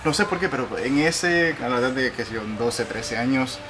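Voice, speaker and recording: A man from Puerto Rico interviewing conversationally and sharing personal anecdotes, halting and correcting himself as he goes. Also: mid-range voice. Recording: noticeable background noise.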